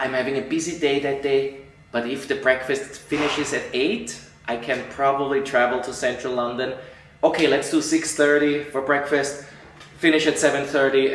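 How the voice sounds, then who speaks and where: A middle-aged man from Austria, an entertainment journalist answering interview questions, animated and acting out quoted colleagues, with frequent restarts. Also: medium pitch, moderate pace. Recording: echoey room, voice a bit far from mic.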